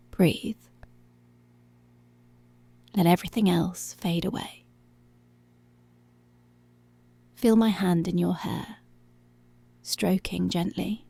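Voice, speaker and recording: A woman acts out a dominant policewoman in ASMR roleplay, very slow and controlling. Her voice is dark and husky, often sinking into a breathy whisper. Every command is deliberate and seductive, recorded close and intimate. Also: on the low side, full character voice. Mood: soft, controlling. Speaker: ASMR voice actor.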